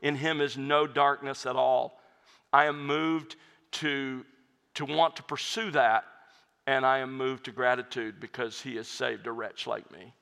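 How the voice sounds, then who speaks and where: A man's voice: mid-range voice, steady tone, measured pace, gravelly, sharp tone, clear, resonant tone. A man, a pastor and hymn writer, answering audience questions in an earnest, reflective way with frequent restarts. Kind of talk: conversational Q&A talk. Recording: reverberant hall.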